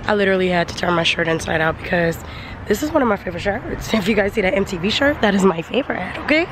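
A young woman vlogging casually about her beauty room, chatty and playful, with slang, and a little hoarse. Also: mid-range voice, animated, quick pace. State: hoarse, voice partly lost. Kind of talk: casual vlog chat. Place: home beauty room, indoors, and outdoors in wind. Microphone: handheld camera at arm's length. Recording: noisy handheld vlog audio.

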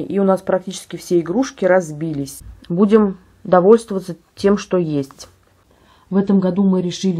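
Sick-sounding woman doing a chatty home vlog, lowish husky voice, kinda mellow. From Russia. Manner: chatty, describing items as she handles them. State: ill, slightly hoarse. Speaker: vlogger. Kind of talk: casual show-and-tell vlog. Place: at home, indoors.

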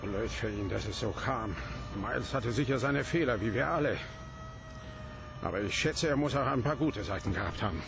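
An actor playing a familiar, plain-spoken police detective in a German radio play, matter-of-fact and colloquial. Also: male voice, medium pitch, animated, normal speed, vocal fry, over music. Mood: familiar, frank.

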